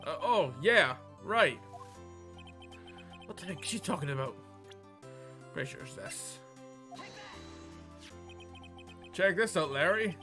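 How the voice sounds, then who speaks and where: An earnest young male lawyer from a visual novel game, read aloud by a man, wry and a little halting. The voice is bright, with faint music behind. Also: a little high, expressive, heavily acted. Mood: flustered.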